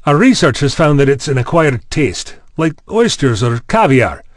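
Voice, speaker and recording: A man's voice: fairly high pitch, sing-song, moderate pace, no room sound. A man playing a courteous, reassuring university vice chancellor in an audio drama, genial and formal. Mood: smooth, reassuring.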